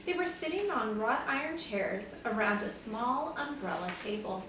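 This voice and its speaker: Female teacher, higher-pitched, expressive storybook read-aloud; very roomy, noisy, distant recording. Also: normal speed. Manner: expressive, acts out dialogue with asides. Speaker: second-grade teacher reading aloud to pupils. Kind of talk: children's chapter book read-aloud. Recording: echoey, distant mic, noticeable background noise.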